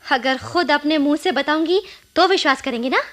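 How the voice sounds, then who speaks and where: A woman's voice: sits high, normal speed, fairly bright tone. A woman acting an anxious, defiant, wounded young village wife in a Hindi radio play, with dramatic, pointed delivery. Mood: bitter, defiant. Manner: dramatic, pointed, emotionally charged.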